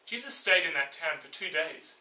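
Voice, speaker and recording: Animated, bright-voiced man telling a story. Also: fairly high pitch, moderate pace. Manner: theatrical, expressive, acts out dialogue. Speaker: storyteller and show host. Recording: roomy echo, distant mic.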